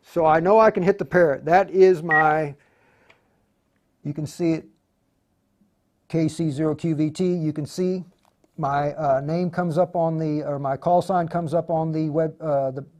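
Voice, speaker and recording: A man's voice: on the high side, steady tone, measured pace, pauses often, darkish tone. A man hosting a casual hands-on livestream tutorial, explaining in an informal, stop-and-start way as he thinks aloud. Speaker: livestream tutorial host, amateur radio operator.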